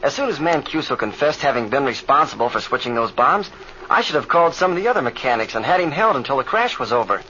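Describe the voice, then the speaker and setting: An earnest young pilot from an old radio adventure serial. His voice is bright and forceful, with boyish eagerness. The sound is muffled, with faint vintage hiss. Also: mid-range voice, very animated, fast talker. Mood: earnest, regretful. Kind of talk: old-time radio drama acting.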